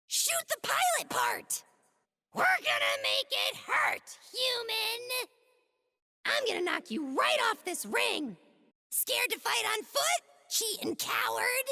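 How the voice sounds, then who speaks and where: A woman playing a small, cocky, aggressive alien foot soldier in a video game, squeaky and gravelly, taunting and boastful, with long reverb. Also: full character voice. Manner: taunting, boastful, hot-headed, shouty. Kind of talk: video game combat barks.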